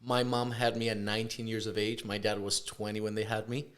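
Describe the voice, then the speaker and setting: A man from Puerto Rico, a chiropractor, chatting casually in an interview, relaxed and hesitant with many fillers. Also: fairly low pitch, brisk. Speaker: chiropractor, guest.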